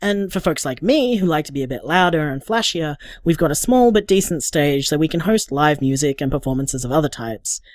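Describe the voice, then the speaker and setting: An actor playing a sociable, flashy bar co-owner in a radio drama interview, chatty and upbeat with an Australian accent. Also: male voice, on the high side, animated, a little fast, hardly any pauses, no room sound, studio quality, close to an everyday voice.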